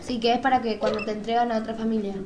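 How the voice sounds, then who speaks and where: A woman's voice: a little high, level tone, rapid-fire. A woman co-hosting informal live radio talk. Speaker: radio programme co-host. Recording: noisy live radio recording.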